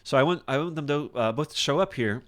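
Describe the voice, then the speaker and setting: A man teaching software in a casual screen-share tutorial, thinking aloud informally as he demonstrates each step. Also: on the high side, brisk. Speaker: software instructor.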